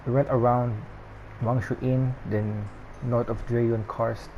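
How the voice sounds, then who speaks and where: A gaming streamer giving casual, low-key, somewhat hesitant gameplay commentary. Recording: clearly noisy, ambient background.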